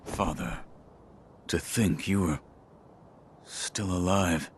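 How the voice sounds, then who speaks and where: An actor playing a stoic, loyal shinobi in a video game, speaking in a terse, restrained, solemn way. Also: male voice, low-pitched, slow, background noise. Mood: stunned, subdued. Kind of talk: dramatic video game voice acting. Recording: music behind the voice. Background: music.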